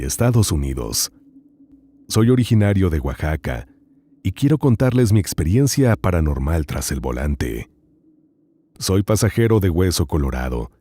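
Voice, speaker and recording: A man narrating horror stories as host, in a slow, hushed, dramatic reading with long pauses. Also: low voice, slightly rough.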